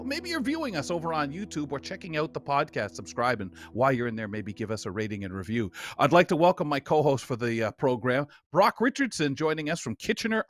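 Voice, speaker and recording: A man's voice: a little high, a bit bright. A man hosting a radio magazine show, telling stories with a theatrical delivery. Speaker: talk show host.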